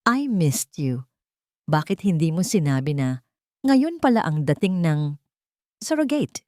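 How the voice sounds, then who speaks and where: A woman's voice: low-pitched, very animated, measured pace, dark tone, no echo, clean studio sound. Woman acting a sugary, haughty jealous fiancée. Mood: sweetly mocking. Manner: sweetly condescending.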